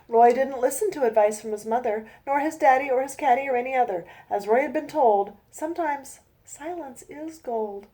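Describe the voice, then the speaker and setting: A woman author reading her children's book aloud in a gentle sing-song manner, with chatty asides to young listeners. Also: a little high.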